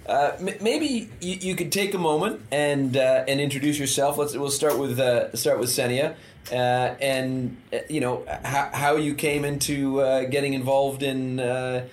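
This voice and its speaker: A man's voice: medium pitch, steady tone, measured pace, a little hiss or hum. A man hosting a podcast interview, talking casually with many fillers and restarts. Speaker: podcast host.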